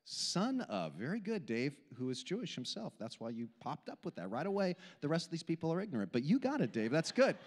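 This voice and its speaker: An older man from Switzerland, Swiss German his first language, giving a humorous sermon with animated, self-deprecating storytelling into a handheld mic on an indoor stage. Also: on the high side, a little fast, hardly any pauses. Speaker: camp speaker. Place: indoor hall stage with audience. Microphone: handheld microphone. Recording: long hall reverb.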